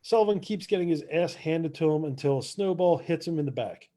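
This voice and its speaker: A man's voice: a little high, a little fast, mellow. A man narrating stage directions in a casual scripted read-through.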